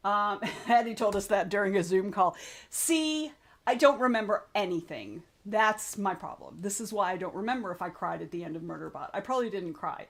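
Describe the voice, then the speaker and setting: A woman's voice: mid-range voice, expressive, moderate pace. Rambling, chatty woman streamer. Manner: rambling, self-interrupting, frank with swearing. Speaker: streamer hosting writing sprints. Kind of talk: casual chat reading viewer comments.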